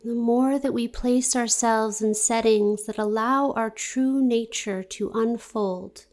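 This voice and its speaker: Slow, even-voiced woman guiding meditation. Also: a little high. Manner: gentle, deliberate, soothing delivery with long pauses. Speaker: yoga nidra meditation guide. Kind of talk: guided meditation, scripted narration.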